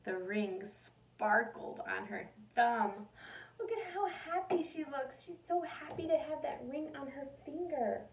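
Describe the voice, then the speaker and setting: A woman with a lightly high voice reads a children's picture book aloud. She is animated and speaks directly to young listeners, slipping in questions and asides like a teacher. The recording sounds a bit distant from the microphone. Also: a little slow, creaky.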